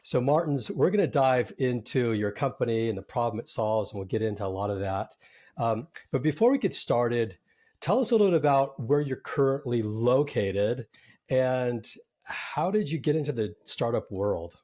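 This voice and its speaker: A man's voice: medium pitch, expressive, moderate pace, darkish tone. A man hosting a startup podcast, interviewing a founder in an upbeat, conversational way. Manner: upbeat, scripted intro then conversational. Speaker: podcast host.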